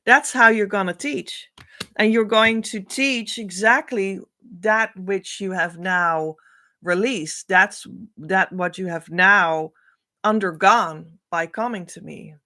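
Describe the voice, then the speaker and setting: A middle-aged woman whose first language is Dutch, giving an oracle card reading as a spiritual coach, speaking to camera in a slow, musing way. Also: medium pitch. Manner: slow, musing, drifts between soft and louder emphasis.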